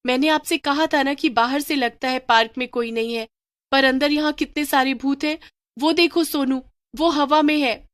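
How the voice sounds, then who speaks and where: A woman's voice: sits high, steady tone, moderate pace, a bit bright, no echo, clean studio sound, pitched above the performer's own voice. A woman voicing an anxious young girl in a cartoon horror story, with frightened, urgent exclamations. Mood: anxious urgency.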